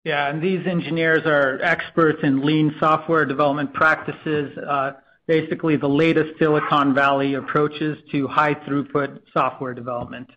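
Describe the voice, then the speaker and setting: A man's voice: on the high side, flat delivery, normal speed, lo-fi sound, a little hiss or hum. A man presenting business plans as a technology executive on an earnings call, with frequent uhs between phrases.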